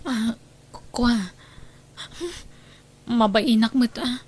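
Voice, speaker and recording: Shy, slow-spoken young woman, acted by a woman. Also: fairly high pitch, steady tone, darkish tone, breathy edge, exaggerated voice. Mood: nervous, reluctant. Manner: hesitant, halting, shy. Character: hesitant, troubled young woman secretly courted by friend's father. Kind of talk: radio drama acting in Ilocano.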